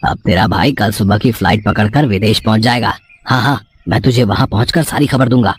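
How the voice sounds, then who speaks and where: A man's voice: on the low side, fairly quick, slightly rough, voice pushed down. A man voicing a sly young swindler in a moral story, boastful, cocky and slangy. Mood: smug, scheming.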